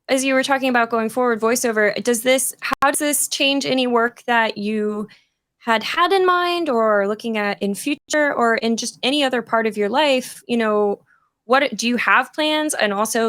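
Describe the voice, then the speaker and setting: Higher-voiced woman host, close dry sound. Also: fairly bright tone, no echo. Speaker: host, moderator. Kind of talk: casual live-stream hosting.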